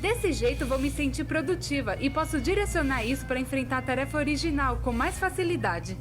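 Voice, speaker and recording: A Brazilian woman voicing a chatty, self-deprecating female animator in an expressive, conversational scripted narration. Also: sits high, brisk. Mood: chatty, candid. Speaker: voice actor in a fan dub. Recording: music behind the voice. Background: music.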